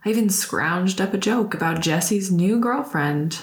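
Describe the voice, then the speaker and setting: A woman's voice: fairly low pitch, expressive, unhurried. An actress playing a troubled, secretive young woman, whispering a hesitant, intimate audio diary in a roomy recording. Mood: uneasy, confiding. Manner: hushed, often whispering, hesitant. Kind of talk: intimate confessional audio drama monologue.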